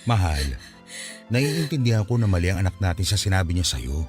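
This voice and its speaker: A man's voice: low-pitched, sing-song, a little fast, a little mellow, soft background music. A man playing a patient, peacemaking father and husband with a Filipino accent, placating and pleading in a radio drama. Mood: pleading, reasoning.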